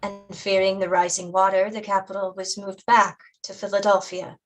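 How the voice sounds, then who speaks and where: A woman playing a patient, caring mother in a staged drama reading, deliberate and instructive in her way of explaining. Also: mid-range voice, little pitch movement, close to an everyday voice, rough recording.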